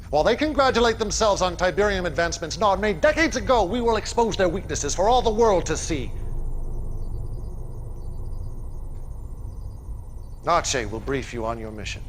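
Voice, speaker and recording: An actor playing a middle-aged cult leader and warlord, delivering fervent, grandiose and deliberate oratory in a game cutscene. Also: male voice, fairly high pitch, slight background noise. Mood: scornful, rallying. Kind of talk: dramatic monologue in a game cutscene.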